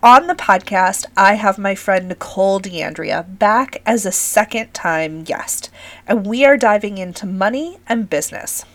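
A middle-aged woman with a raspy, scratchy voice talks casually to her listeners. She sounds a little worn, chatty and friendly as she hosts her podcast. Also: mid-range voice, expressive, measured pace. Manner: chatty and warm-hearted, addresses listeners as friends. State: recovering from illness, scratchy voice. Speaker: podcast host.

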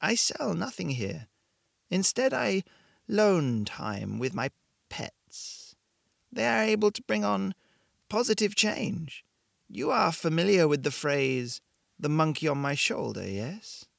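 A man voicing a calm, cryptic woman shopkeeper with a vague European accent. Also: on the low side, creaky, no room sound, studio quality, pitched above the performer's own voice, full character voice. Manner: measured, formal, slow.

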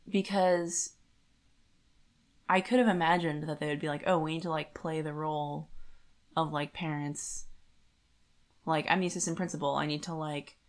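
Woman on a podcast, lowish voice, super casual chatty talk. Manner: informal, conversational, peppered with "like". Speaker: podcast co-host. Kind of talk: casual chat.

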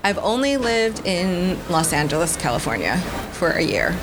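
A woman speaks as a podcast guest, thinking out loud with many fillers and restarts. She sounds reflective and unhurried. Steady background noise runs under the recording. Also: lowish voice. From United States. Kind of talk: casual interview.

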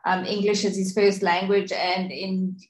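A woman's voice: medium pitch, level tone, unhurried, roomy sound, low-quality recording. A woman podcast host whose first language is Afrikaans, chatty and encouraging as she interviews a guest.

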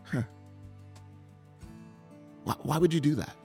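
A man giving an earnest, confessional sermon testimony, often halting and repeating words as he speaks. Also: a little high, gravelly, music in the background.

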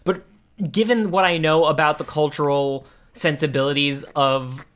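A man chatting casually as a podcast co-host reviewing a cartoon, rephrasing his thoughts haltingly as he goes. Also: a little high, fairly even intonation, a bit bright.

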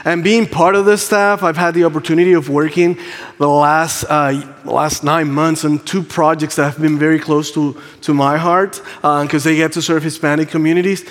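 A man's voice: fairly high pitch, steady tone, moderate pace. A man with a Puerto Rican Spanish accent, a campus pastor giving an enthusiastic church update with frequent ums. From Puerto Rico. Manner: warm, enthusiastic, with frequent ums and playful asides. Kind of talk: church announcement. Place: church sanctuary. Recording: long hall reverb.

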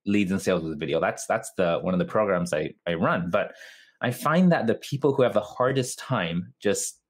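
A man's voice: sits low, normal speed, breathy edge. A man from Japan with a North American accent, answering casually and explaining as an interview guest. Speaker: interview guest, video marketer.

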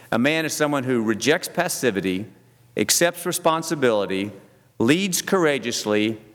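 A middle-aged or older man, giving a folksy, halting, self-deprecating talk to a group. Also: mid-range voice. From southern United States. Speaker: speaker addressing a church group. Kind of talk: personal talk with stories and Bible reading. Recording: long echoing hall reverb.

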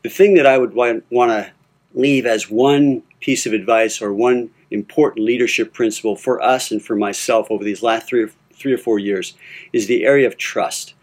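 An older man speaking as a pastor, earnest and measured. He sits in the middle of the male range. Now and then he stops and restarts a phrase. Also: mid-range voice, steady tone. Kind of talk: recorded leadership advice talk.

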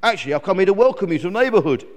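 A sociable storybook raven, performed by a man, chatters in a raised, loud, swooping voice. He is effusive and welcoming, with a long echo around him. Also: sits high, lively intonation, rapid-fire, pitched above the performer's own voice. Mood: eager, helpful. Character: friendly, eager, chatty male raven. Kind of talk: children's storybook character voice.

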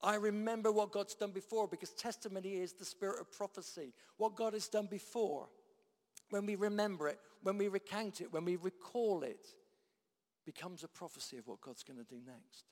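A man's voice: sits high, moderate pace. Preacher man in echoing hall. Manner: dynamic, swings from loud declarations to hushed tones. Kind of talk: sermon. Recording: long hall reverb.

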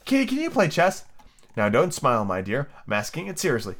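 A man's voice: medium pitch, a little fast. A man voicing a prim, chatty little girl who scolds and lectures her kitten, in a raised sing-song voice. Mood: dreamy. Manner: fussy, lecturing, lively and whimsical. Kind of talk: amateur reading of a children's book.

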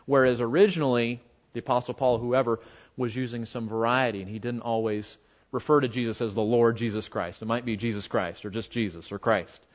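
A man's voice: medium pitch, fairly even intonation. Male American Bible teacher, mid-range voice, conversational and discursive, lecturing informally on a muffled recording. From United States. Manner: conversational, halting, with asides. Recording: dull, muffled lo-fi audio.

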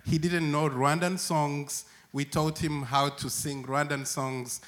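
Male guest, slow and measured, laughs as he tells stories. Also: a little high, little pitch movement, a bit bright. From Uganda. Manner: unhurried, laughs often. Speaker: church worker, guest. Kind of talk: warm storytelling conversation.

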